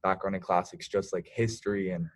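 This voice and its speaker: A teenage boy from Germany, a student organizer, talking in a relaxed, upbeat way with fillers on a video call. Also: low voice, fairly quick. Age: teens. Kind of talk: casual video call meeting.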